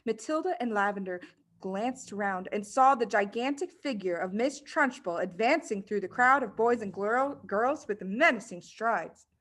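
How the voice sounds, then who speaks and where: A woman reading a children's book aloud as narrator, in an expressive storytelling style. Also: mid-range voice, measured pace. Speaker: audiobook reader.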